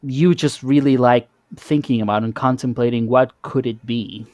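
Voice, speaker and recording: A man's voice: mid-range voice, fairly even intonation, moderate pace, a little mellow, no room sound, muffled recording. A man hosting a casual interview chat, often repeating the starts of his words as he thinks. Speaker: podcast host.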